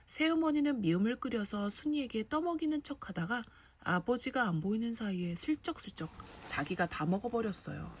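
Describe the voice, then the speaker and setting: High, breathy woman reading a bedtime story. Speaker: storybook reader, a mother. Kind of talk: expressive bedtime story narration.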